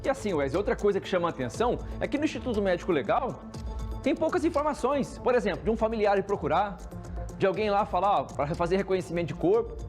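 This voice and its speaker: A Brazilian man anchoring TV news, pressing a field reporter with questions and thinking out loud. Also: high-pitched, expressive, fast talker, soft background music. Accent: Brazilian Portuguese.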